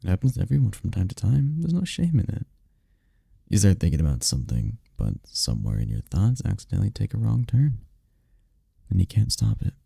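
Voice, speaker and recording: A man playing a tender, powerful incubus boyfriend in an intimate roleplay ASMR, speaking softly and soothingly with unhurried, caring murmurs. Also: huge pitch swings, no room sound, studio quality, exaggerated voice. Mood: gentle, reassuring. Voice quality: deep, dark, gravelly, husky. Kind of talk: intimate roleplay ASMR, scripted comfort monologue. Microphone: close mic, dry.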